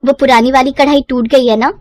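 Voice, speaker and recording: A woman voicing a poor young village mother, tender but weary, in an expressive cartoon-story manner. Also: high voice, fairly quick, dry recording, close to an everyday voice. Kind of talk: Hindi moral-story cartoon dialogue.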